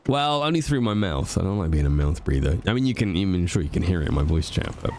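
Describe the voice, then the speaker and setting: A man's voice: low-pitched, very animated, quick pace, darkish tone, slight background noise. A man streaming a roleplay game, chatting to viewers with a stuffy nose from a cold, rambling and swearing freely. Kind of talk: casual chat to viewers while playing.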